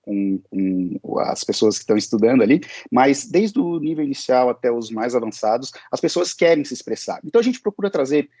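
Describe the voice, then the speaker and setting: A man's voice: medium pitch, animated, a little fast, hardly any pauses, warm tone, slightly rough, no room sound. A man teaching English, answering interview questions in a hesitant way, with many fillers and restarts.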